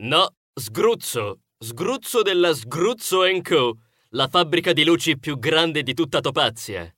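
A man's voice: on the high side, lively intonation, slow pace, fairly bright tone. A man voicing a smug, brash male rodent neighbour in a storybook, boastful and condescending, with cutesy turns of phrase.

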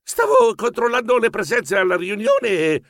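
Timid male mouse journalist, acted by a narrator, flustered, high-voiced, excitable, children's audiobook, dry recording. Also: normal speed, no room sound, full character voice. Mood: flustered, startled. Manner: stammering, squeaking when startled.

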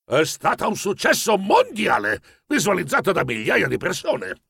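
Bossy elderly grandfather mouse, played by a male reader, loud, gruff, animated, children's audiobook, dry close sound. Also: sits high, normal speed, no echo, heavily acted. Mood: proud announcing. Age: older. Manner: blustering, shouting.

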